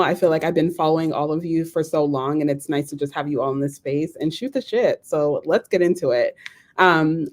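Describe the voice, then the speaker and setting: Enthusiastic woman host, low voice. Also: darkish tone. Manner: enthusiastic, casual. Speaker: host, moderator. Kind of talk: live panel moderation.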